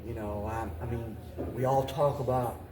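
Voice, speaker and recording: An older man giving reflective interview answers as a mayor, haltingly, often repeating and restarting his phrases. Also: mid-range voice, fairly even intonation, noisy background. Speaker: mayor, talk show guest. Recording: roomy, distant mic, voices in background.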